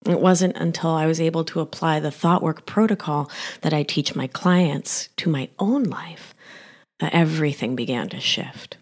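A woman in her forties, born in Argentina, telling her own life story in slow, reflective scripted narration that often trails off into soft whispers. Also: deep voice, slightly rough. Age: 40s. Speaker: health practitioner.

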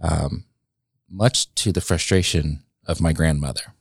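Gravelly deep-voiced man in his late thirties. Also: fairly even intonation, no echo, studio quality. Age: late 30s. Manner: reflective, halting, many ums and restarts. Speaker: guest. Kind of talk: casual interview conversation.

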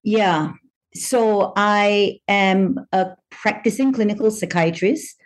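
A middle-aged woman from Malaysia, a psychiatrist answering interview questions in a measured, thoughtful way with frequent pauses. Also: medium pitch. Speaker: psychiatrist guest.

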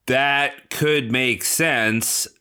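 A man's voice: mid-range voice, drawn-out words, pushed and bright, clear, resonant tone. A man playing an indignant, paranoid podcast host, ranting profanely and melodramatically in a scripted comedy podcast. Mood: furious, exasperated. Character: indignant, paranoid male podcast host, foul-mouthed and exasperated.

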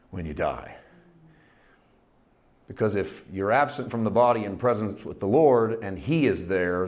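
A man preaching a sermon, building with repeated phrases and dropping to hushed, intimate passages. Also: medium pitch. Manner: emphatic, repetitive preaching cadence, swings from loud to hushed. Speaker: pastor.

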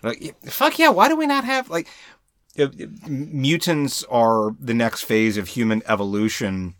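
A man co-hosting a casual comics discussion, halting and repeating words as he thinks aloud, with animated, profane asides. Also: mid-range voice, no echo, studio quality. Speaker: podcast co-host.

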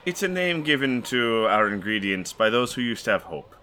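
Bright, quick-talking game master, a man. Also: mid-range voice, big pitch swings, a little hiss or hum. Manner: quick, playful narration with voiced side characters. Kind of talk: narrating a tabletop game, voicing a barmaid.

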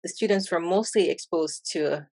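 A woman with a Jamaican accent speaks in a notably low, even voice. She talks in a thoughtful, measured way, close to the mic in a dry room. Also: no room sound. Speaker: English teacher and interviewer. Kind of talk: conversational interview.

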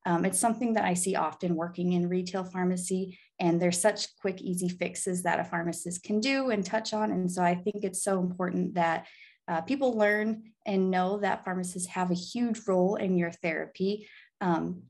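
A woman's voice: on the low side, fairly even intonation, normal speed, a little mellow. A woman in her twenties with an American accent, giving upbeat, earnest conversational answers as an interview guest. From Arkansas, United States. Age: 20s. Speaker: guest, pharmacy student and pageant titleholder.

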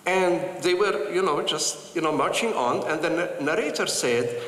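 An older man from Palestine telling personal stories to an audience with earnest, animated delivery and frequent pauses. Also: on the high side, slight background noise. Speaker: speaker. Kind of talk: personal storytelling talk. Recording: echoey hall with light ambience.

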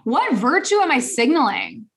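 A woman co-hosting a casual podcast, sarcastic and playful, with animated emphasis. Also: sits high, moderate pace, vocal fry. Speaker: podcast co-host.

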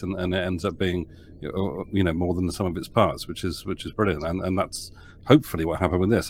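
Low-voiced man producer with many fillers. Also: slight background noise. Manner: rambling with frequent fillers and restarts. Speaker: audio drama producer. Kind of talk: casual podcast interview.

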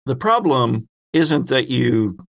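A man's voice: medium pitch, big pitch swings, slow, creaky. A man speaking casually as the game master of a tabletop roleplaying game, narrating and explaining rules.

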